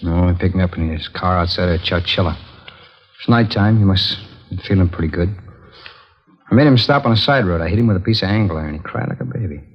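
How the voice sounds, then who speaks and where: Muffled hissy 50s radio, american drifter killer, lowish voice, rambling and matter-of-fact about it. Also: male voice, quick pace, breathy edge. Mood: cold, offhand. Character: casual, remorseless drifter confessing to serial killings. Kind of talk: 1950s radio drama acting. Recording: dull, noisy old 1950s radio recording.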